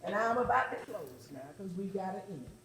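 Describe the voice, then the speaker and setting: A woman's voice: medium pitch, sing-song, fast talker, vocal fry, crowd noise. A woman preaching a call-and-response church sermon, emphatic and repeating phrases for effect. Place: large echoing room with an audience. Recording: echoey hall with congregation responding.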